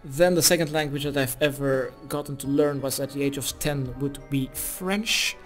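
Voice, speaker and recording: A man's voice: medium pitch, moderate pace. A man in his twenties from Belgium, a Dutch speaker, doing a casual question-and-answer voice-over. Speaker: gaming video creator. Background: music.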